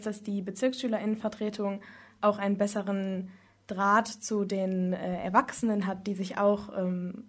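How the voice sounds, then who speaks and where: A teenage girl giving spontaneous interview answers as a student representative, pausing often with fillers in long winding sentences. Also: medium pitch, darkish tone. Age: teens.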